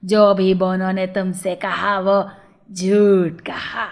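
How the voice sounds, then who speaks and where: A woman's voice: mid-range voice, little pitch movement, pitched below the performer's own voice. A woman voicing a cave witch from a children's moral story, drawing out her words with heavy emphasis. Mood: stern, reassuring. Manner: slow, drawn-out, emphatic delivery. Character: menacing female cave witch with a fair, honest streak.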